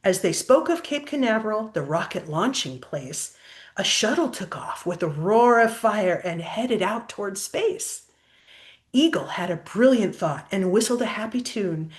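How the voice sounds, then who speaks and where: A woman's voice: medium pitch, expressive, unhurried. An older woman reading a children's picture book aloud expressively, like a grandmother pointing out pictures to a child.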